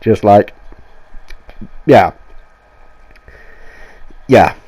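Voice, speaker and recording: A man's voice: fairly low pitch, lively intonation, extremely slow, dark tone, slightly rough. Nasal, sweary man with a cold. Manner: rambling, sweary, enthusiastic, restarts often. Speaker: gaming YouTuber. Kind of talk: casual game review voiceover. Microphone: voiceover mic.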